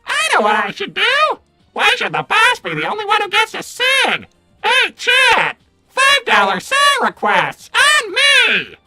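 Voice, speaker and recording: Cartoon robot sidekick: brash, showy, dim; wildly swooping pitch, unhurried, faint music behind. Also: male voice, wildly swooping pitch, gravelly, pushed and bright, heavily acted. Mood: excited, showy. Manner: brash, showy, stuttering repeats. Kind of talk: improvised in-character livestream roleplay.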